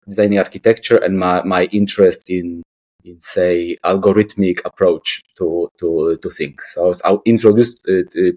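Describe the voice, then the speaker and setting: Polish guy late 30s, low voice, kinda hesitant, repeats words, chatting in an interview. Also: mellow. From Poland. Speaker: guest, artist and architect. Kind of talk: casual interview answers.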